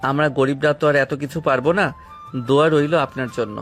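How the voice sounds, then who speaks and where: A man's voice: mid-range voice, steady tone, fast talker, lightly acted. A man acting a proud, hurt young bodyguard, formal and aloof, in a romantic audio story. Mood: cold, bitter. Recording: music behind the voice.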